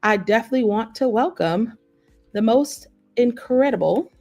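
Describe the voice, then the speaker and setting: A woman's voice: on the high side, expressive, normal speed, darkish tone, faint music behind. A woman hosting a live online workshop in an upbeat, effusive way, welcoming the audience over a video call. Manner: upbeat, effusive, lots of praise words. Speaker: workshop host.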